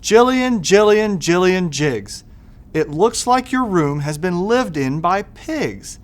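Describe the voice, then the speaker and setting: A man's voice: sits high, very animated, slow pace, exaggerated voice. A man voicing an exasperated, scolding mother in a rhyming children's storybook, with a sing-song delivery. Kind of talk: children's storybook read-aloud.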